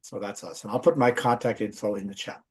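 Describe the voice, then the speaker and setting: A man who is a company executive introduces himself in a relaxed, conversational way. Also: on the low side, fast, husky. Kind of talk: self-introduction. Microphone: video call.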